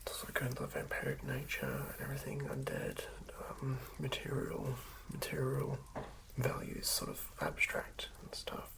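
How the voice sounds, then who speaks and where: An ASMR host speaking in a hushed, halting whisper, musing aloud through unscripted commentary. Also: mid-range voice, flat delivery, vocal fry, rough recording.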